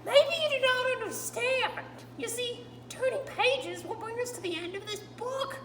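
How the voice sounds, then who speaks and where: A woman putting on a high, jittery voice for a timid furry monster who frets and pleads at full volume, as in a children's storybook reading. The sound is distant and roomy, with a constant hum underneath. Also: normal speed. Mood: frantic. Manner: loud, fretful, begging the listener.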